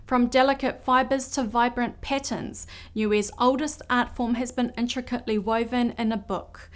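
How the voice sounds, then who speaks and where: Measured woman reading the news. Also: a little high, fairly even intonation. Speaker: news anchor.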